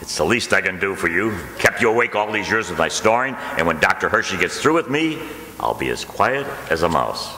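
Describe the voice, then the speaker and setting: A grouchy, long-suffering husband played by a man from an old-time radio comedy, with a lowish, sharp-edged voice. He blusters in exasperation across an echoey hall. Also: very animated, a little fast, full character voice. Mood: weary, conciliatory. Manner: blustering, indignant. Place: auditorium stage, live radio-play performance. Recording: echoey hall, live stage performance.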